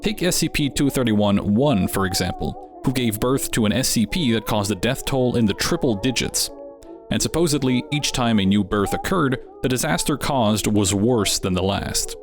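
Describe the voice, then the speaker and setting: Man, mid pitch, scripted narration, wry delivery, background music. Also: lively intonation, normal speed. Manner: wry, conversational storytelling. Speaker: host.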